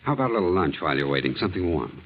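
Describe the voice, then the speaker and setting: An actor playing an earnest, hesitant American bachelor, a shyly hopeful suitor in a 1940s radio drama. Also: male voice, deep voice, sing-song, very fast, full character voice. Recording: muffled, noisy old radio recording.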